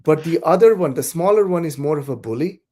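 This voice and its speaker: A man's voice: on the high side, animated, fast, a little mellow. A man from south India whose first language is Malayalam, talking as a podcast guest in a thoughtful, hesitant way with many restarts. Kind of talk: casual conversation.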